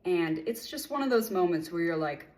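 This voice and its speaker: A young American woman from California talks to the camera in a relaxed, friendly way, now and then reaching for an "um." Her voice sits a little low for a woman, and she sounds like an artist sharing her tools in a casual promotional clip. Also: normal speed. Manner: friendly, conversational, with occasional fillers. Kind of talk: casual sponsored testimonial.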